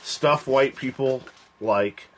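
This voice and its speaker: A man in his forties chats loosely on a phone livestream. He rambles, laughs often, and his pitch jumps high and drops low from line to line. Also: takes it slowly, fairly bright tone, slight background noise. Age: 40s. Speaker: host of a livestream. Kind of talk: casual joking chat.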